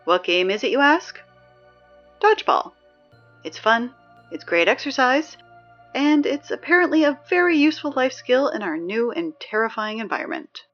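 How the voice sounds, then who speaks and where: A young woman playing a wry, peppy teenage girl who reads high school announcements in a chatty, sardonic, emphatic way for a scripted audio drama. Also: sing-song, moderate pace, fairly bright tone, muffled recording, faint music behind. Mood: peppy, cajoling.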